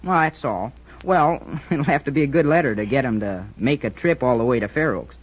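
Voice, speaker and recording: An actor playing an eager, joking schoolboy cadet in an old-time radio drama, talking in a chatty, earnest way. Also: male voice, mid-range voice, lively intonation, a little fast, close to an everyday voice. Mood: eager, confiding. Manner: chatty, boyish, earnest explaining. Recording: muffled vintage radio recording.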